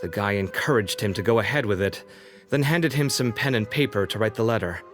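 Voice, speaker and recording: A man's voice: fairly low pitch, a little fast, soft background music, close to an everyday voice. A man voicing a candid young man in a video game, casual, emphatic and opinionated. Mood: explaining. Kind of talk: English video game dub acting.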